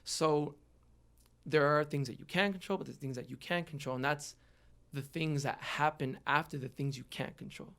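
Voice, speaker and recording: A man's voice: fairly high pitch, moderate pace, a bit bright. Young man, early twenties, earnest and reflective interview guest, conversational delivery. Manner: earnest, reflective, frequent "you know". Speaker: guest, rapper. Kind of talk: casual podcast interview, personal reflection.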